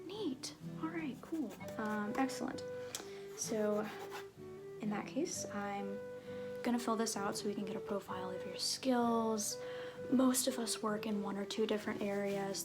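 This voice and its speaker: A woman playing a flirty, bumbling tiefling circus fool in an ASMR roleplay, theatrical yet awkward and halting as they address the listener. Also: medium pitch, natural voice.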